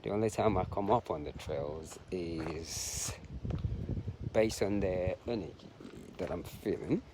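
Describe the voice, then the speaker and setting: A man vlogging outdoors on a park trail while he jogs, musing softly with many pauses. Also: low voice. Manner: soft-spoken, musing, halting with pauses. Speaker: vlogger and long-distance runner. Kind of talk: casual reflective vlog while out jogging. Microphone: handheld camera, self-filmed. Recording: outdoor recording with noticeable background noise.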